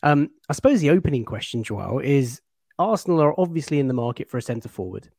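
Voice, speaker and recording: Measured male football show host. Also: mid-range voice, lively intonation, vocal fry, darkish tone, no room sound, clean studio sound. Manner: measured, introducing and asking questions. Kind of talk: presenting and interviewing.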